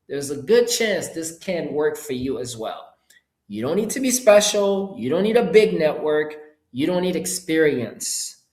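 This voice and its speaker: A middle-aged man from Haiti, a Creole speaker, gives an informal, upbeat motivational webinar pitch in short punchy phrases. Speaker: entrepreneur hosting an online presentation. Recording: roomy, echoing sound.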